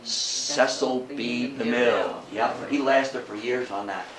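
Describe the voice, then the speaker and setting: A man's voice: mid-range voice, takes it slowly, a crowd in the background. An older American man giving an informal history lecture, telling stories in a folksy, emphatic way to a live audience. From United States. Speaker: guest lecturer on old-time radio history. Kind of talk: informal public lecture with anecdotes. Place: indoor talk to a live audience at a historical society. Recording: roomy, distant mic with faint noise.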